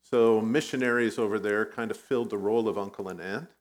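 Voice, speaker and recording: A middle-aged man who grew up in Vietnam, a guest pastor giving a conversational sermon with personal stories, now and then clearing his throat. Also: on the low side, steady tone, a little fast. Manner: conversational, self-deprecating storytelling.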